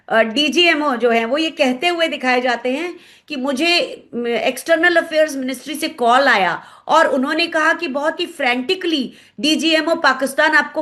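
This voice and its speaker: A woman with an Indian accent giving analytical commentary in an interview, explaining things and pausing to search for words. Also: high-pitched, a bit bright. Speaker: guest commentator, former pilot.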